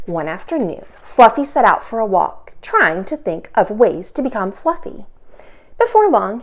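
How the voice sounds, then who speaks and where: A woman teacher hosting a children's storytime, reading aloud expressively with dramatic pauses and emphasis. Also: medium pitch. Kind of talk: animated children's read-aloud.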